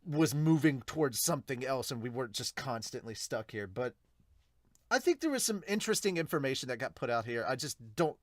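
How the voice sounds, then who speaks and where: Male podcast host, mid-pitched, loose and informal, rambles through off-the-cuff reviews, close dry sound. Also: expressive, fairly quick, no room sound. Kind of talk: casual unscripted reaction and review.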